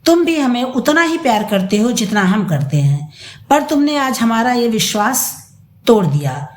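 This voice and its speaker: A woman's voice: on the high side, big pitch swings, moderate pace. A woman voicing a stern, indignant older father in a dramatised audio story. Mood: wounded anger. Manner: indignant, accusing, firm. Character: stern, angry older father rebuking his greedy son. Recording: roomy echo.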